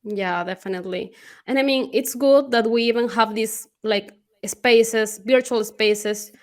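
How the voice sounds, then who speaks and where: A woman's voice: a little high, steady tone, unhurried. A woman hosting a virtual Q&A interview, encouraging and affirming, often ending with "right?".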